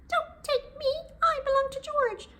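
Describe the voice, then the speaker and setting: A woman voicing a tiny magic golden harp, calling out in a very high, urgent voice. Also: steady tone, a little slow, sharp tone, slight background noise, heavily acted. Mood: alarmed.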